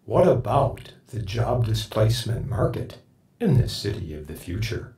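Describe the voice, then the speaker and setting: Roomy, mellow man, lively intonation. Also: on the low side, measured pace. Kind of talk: spoken sample sentence. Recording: roomy, distant sample clip.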